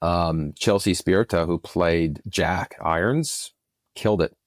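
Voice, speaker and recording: A man's voice: low-pitched, unhurried, no room sound, clean studio sound. A man speaking as a producer and podcast guest, answering informally and with enthusiasm, often circling back mid-thought. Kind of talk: casual interview answers.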